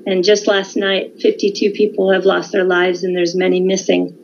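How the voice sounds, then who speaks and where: A woman talks in a measured, informative way, pausing often with um and uh as she explains. Her voice sits at a middle pitch and comes over a dull, lo-fi remote line with a faint hiss behind it. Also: completely flat delivery, darkish tone. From California, United States. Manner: measured, informative, with frequent um and uh pauses. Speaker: guest. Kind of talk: conversational interview.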